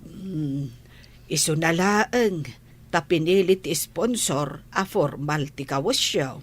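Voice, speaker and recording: A woman's voice: low-pitched, slow. An actress with an Ilocano Filipino accent playing a practical mother giving advice in a radio drama. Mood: advising.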